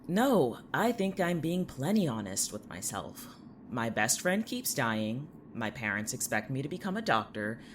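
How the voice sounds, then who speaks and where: Man playing a sardonic, animated high school student. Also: measured pace, a little hiss or hum. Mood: irritated. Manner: sardonic, blunt, irritable. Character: sarcastic, defensive, jaded male high school student. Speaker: actor in audio drama. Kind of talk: audio fiction dialogue.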